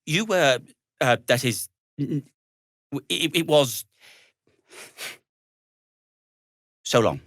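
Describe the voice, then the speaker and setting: An actor playing an earnest, fussy, melancholy gnome inventor in a video game, with a hesitant, wordy and old-fashioned polite way of talking. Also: male voice, mid-range voice, lively intonation, a little slow, a bit bright, no echo, clean studio sound. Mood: mournful, halting. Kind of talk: video game character dialogue.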